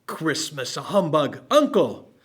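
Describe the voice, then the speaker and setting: A man acting a cheerful, good-natured young gentleman in an old-time radio drama, with a hearty manner. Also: fairly high pitch, big pitch swings, slow pace. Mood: good-natured, teasing.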